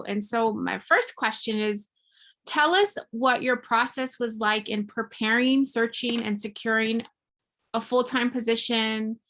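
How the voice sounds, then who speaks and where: A woman with a very bright, slightly high voice hosts an online event. She speaks informally and enthusiastically, pausing and restarting as she goes, into a computer mic. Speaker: panel host, career office staff. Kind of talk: informal event hosting on a video call. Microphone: computer mic on video call.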